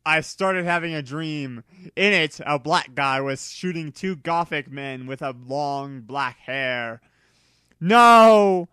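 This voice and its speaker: A man reading a story aloud in a theatrical, exaggerated way, with a bright, forceful voice. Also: mid-range voice, takes it slowly, smooth, no echo. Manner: theatrical, exclamatory delivery with exaggerated emphasis. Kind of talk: dramatic reading of fan fiction.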